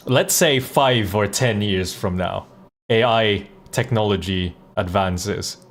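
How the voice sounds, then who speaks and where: A man chatting casually on a livestream, blunt and conversational, with some swearing. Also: fairly low pitch. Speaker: live streamer and voice actor. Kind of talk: casual livestream chat and reactions.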